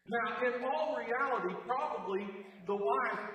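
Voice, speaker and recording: A married man preaching a sermon to a congregation with a big, low voice, conversational and dryly joking. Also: fairly bright tone. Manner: conversational, wry asides to the congregation. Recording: echoey hall, distant mic.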